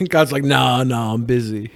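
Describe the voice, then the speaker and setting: A man's voice: mid-range voice, measured pace, gravelly. A man in casual podcast banter, riffing crudely with a mock-serious manner. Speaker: podcast host or panelist. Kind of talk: casual joking panel chat.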